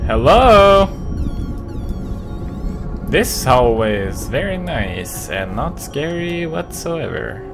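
A man in his twenties giving jokey, sweary, excitable gaming commentary into a close desk microphone in a room at home. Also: fairly high pitch, very slow, creaky, long pauses. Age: 20s. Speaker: gaming video host. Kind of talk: casual let's play commentary. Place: small home room, indoors. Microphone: close desk microphone with pop filter. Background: game music.

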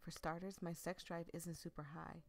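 A woman voicing a tender, teasing young boyfriend in a visual novel, gently and reassuringly. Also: lowish voice, level tone, moderate pace, faint music behind, close to an everyday voice. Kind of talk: visual novel read aloud.

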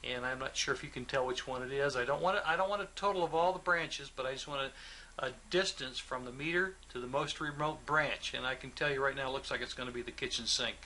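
A man teaching a technical lesson, patient and methodical as he talks through each figure. His voice is bright and forceful at an ordinary pitch. A faint hiss sits under his voice. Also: quick pace. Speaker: plumbing instructor. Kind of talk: instructional walkthrough.

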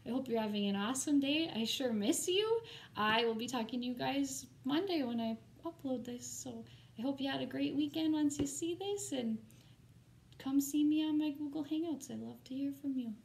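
A woman's voice: high-pitched, moderate pace, gravelly, a little mellow, slight background noise. A woman teacher reading a picture book aloud to children expressively, with chatty, informal asides.

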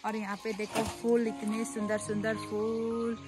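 A woman's voice: a little high, flat delivery, a little slow. A young woman chatting casually as she picks leaves in her village garden, pointing things out to the camera. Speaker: vlogger. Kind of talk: casual vlog chat. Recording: background music over outdoor sound.

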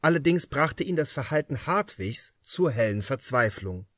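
A man's voice: mid-range voice, animated, moderate pace, a bit bright, dry recording, studio quality. A man narrating a crime novel as an audiobook in a dramatic reading style.

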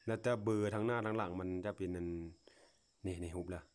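Casting: male Lao vlogger, low-pitched voice, folksy and full of wonder, off-the-cuff outdoor phone commentary. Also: quick pace, a little mellow. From Laos. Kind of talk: casual unscripted commentary to viewers while filming. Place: outdoors, at a grassy patch.

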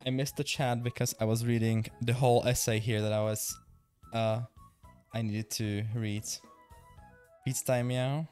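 A man's voice: mid-range voice, little pitch movement, a little slow, darkish tone, soft background music. Young man with Czech accent streaming. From Czech Republic. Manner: chatty, reads chat aloud, swears casually. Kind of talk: casual live-stream chat while gaming.

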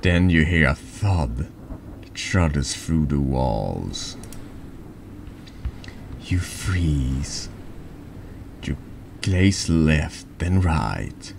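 A man with a deep voice reading horror narration slowly and haltingly in an ASMR style, over background noise. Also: lively intonation, creaky. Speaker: vtuber reading a text game aloud.

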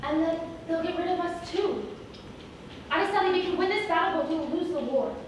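A woman's voice: very high-pitched, fairly quick. A woman on stage playing a worried, motherly older Mexican woman in a musical. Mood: worried, pleading. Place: small indoor theatre stage, far camera. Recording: echoey stage from far off, audience noise.

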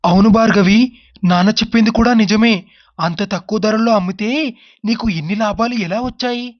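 Man acting an earnest, high-pitched husband. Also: fairly quick, lo-fi sound, lightly acted. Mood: doubtful.

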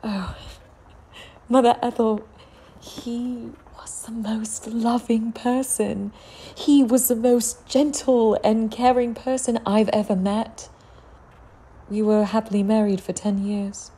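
A woman acting a young, genteel, desperate widow in a gothic audio drama, speaking formally and theatrically with a breathy voice. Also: on the high side, level tone, takes it slowly, warm tone, heavily acted. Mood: wistful.

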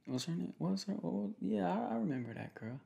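A young man speaking in a casual, slangy, halting way in an ASMR boyfriend roleplay. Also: high voice, very animated, creaky, mellow. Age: young adult. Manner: slangy, laid-back, halting with repeated phrases. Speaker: ASMR creator playing a protective boyfriend. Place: small home room, indoors.

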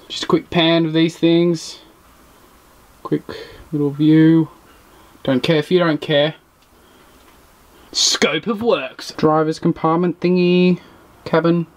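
A young man, giving a casual, jokey walkthrough while exploring old trains, recorded on a handheld camera outdoors and in a locomotive cab. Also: fairly high pitch. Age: young adult. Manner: chatty, jokey, running play-by-play. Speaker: urban explorer vlogger. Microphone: handheld action camera. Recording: noisy outdoor camera audio.

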